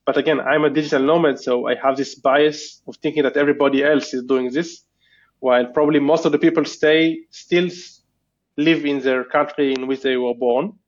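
A man's voice: fairly high pitch, steady tone, normal speed. Hesitant man with muffled lo-fi sound. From Israel. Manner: hesitant, thoughtful, many restarts and fillers. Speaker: guest entrepreneur. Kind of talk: casual interview chat.

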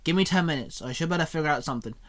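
A man's voice: on the high side, very fast, a bit bright, close to an everyday voice. A man voicing a grumpy, sarcastic male tinkerer who grumbles through his teeth. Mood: grumbling.

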